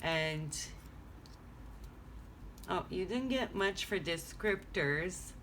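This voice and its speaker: Chatty low-voiced woman reading cards. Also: animated, very slow, fairly bright tone, a little hiss or hum. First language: French. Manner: chatty, rambling, thinking aloud. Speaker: tarot reader. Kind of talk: casual card-reading vlog. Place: home room.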